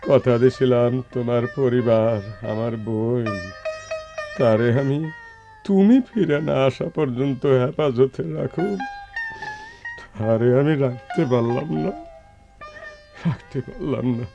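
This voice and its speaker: A kindly, failing villager in a radio play, speaking eastern Bengali rural dialect. He drags his words slowly and repeats his pleas with emotion. Music plays clearly behind him. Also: mid-range voice, highs cut off. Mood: remorseful. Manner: pleading, repeating phrases. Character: weary, dying, apologetic rustic brother-in-law, emotional and kindly. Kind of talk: Bengali radio drama acting.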